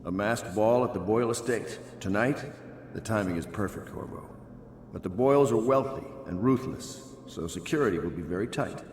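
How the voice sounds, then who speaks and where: An actor playing a dignified, earnest older military leader of conspirators in a video game, speaking in a formal, measured way. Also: male voice, fairly low pitch, a little mellow, natural voice. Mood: firm. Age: middle-aged. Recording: long echoey hall, faint background noise.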